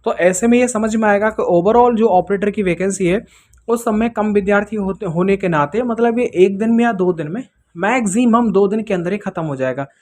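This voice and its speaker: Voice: mid-range voice, brisk, darkish tone. An exam-prep presenter explaining things informally to students in a didactic way, checking in with rhetorical questions.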